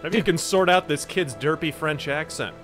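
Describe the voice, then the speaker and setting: A man's voice: a little high, normal speed, music in the background. A man giving sarcastic, crude running commentary while playing a video game. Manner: sarcastic, snarky, crude jokes. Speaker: let's play host.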